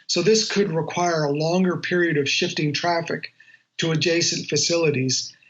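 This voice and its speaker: Dull-sounding recording, higher-voiced male journalist. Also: steady tone, normal speed, a bit bright. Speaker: aviation journalist, interviewee. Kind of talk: explanatory interview answers, measured briefing.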